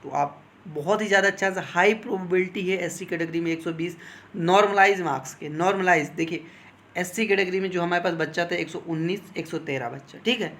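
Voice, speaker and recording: Male coaching instructor, fairly high register, informal explanatory delivery with regular check-ins to listeners. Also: fairly bright tone. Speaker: exam coaching teacher advising candidates. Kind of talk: informal explanatory talk on exam cut-offs.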